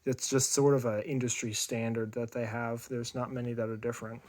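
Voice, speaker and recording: A man giving a casual hands-on demonstration as a radio hobbyist, speaking informally and haltingly as he thinks aloud. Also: medium pitch, little pitch movement, no room sound. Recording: noisy room with loud radio static. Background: shortwave radio noise and signals.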